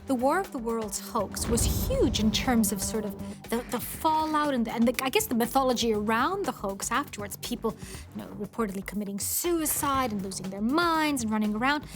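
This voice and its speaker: High, lively woman commentator. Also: normal speed, over music. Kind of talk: documentary interview commentary.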